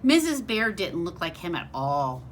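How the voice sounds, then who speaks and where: A woman teacher reading a picture book aloud to young children in an expressive, simple, child-directed way. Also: fairly low pitch, unhurried, slight background noise.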